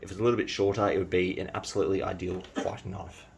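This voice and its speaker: An Australian-accented man who grew up in New Zealand talks through his collection in a relaxed, chatty way. His voice sits on the low side, and he fills pauses with ums and uhs. A faint hiss of noise runs underneath. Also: gravelly. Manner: enthusiastic, chatty, frequent fillers. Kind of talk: casual show-and-tell vlog.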